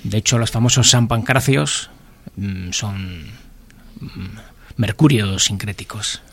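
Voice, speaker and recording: A man's voice: on the low side, takes it slowly, a bit bright. A man with a Spanish accent from Spain chatting casually in short, understated remarks. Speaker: guest, illustrator. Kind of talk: casual chat.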